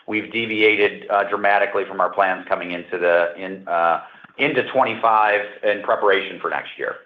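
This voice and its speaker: A man's low voice comes over a narrow phone line with a roomy echo. He is an executive giving unscripted answers, measured and halting, often repeating words as he works through a point. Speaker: company executive answering analysts. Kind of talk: unscripted earnings call answers.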